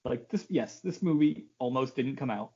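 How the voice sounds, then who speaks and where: A man chats as a podcast co-host with swooping, animated pitch. His manner is joking and casually profane. The audio is slightly dull and lo-fi. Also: moderate pace, husky. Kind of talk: casual chat about films.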